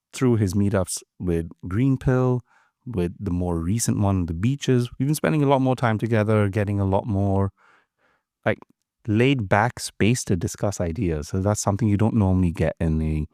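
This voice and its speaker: A man's voice: on the low side, normal speed, mellow, no echo, studio quality. A man hosting a podcast, enthusiastic and friendly as he introduces and questions his guest. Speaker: podcast host. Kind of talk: casual interview chat.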